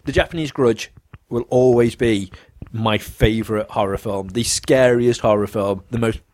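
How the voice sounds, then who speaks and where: A man co-hosting a casual chat, talking animatedly with sharp jumps in pitch and some swearing. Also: fairly even intonation, normal speed. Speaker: podcast co-host.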